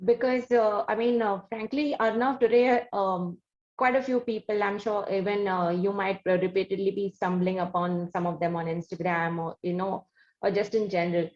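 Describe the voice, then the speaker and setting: A woman hosting an interview, talking in long, deliberate, conversational lead-ins. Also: medium pitch, steady tone, darkish tone, roomy sound, highs cut off. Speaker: interview host.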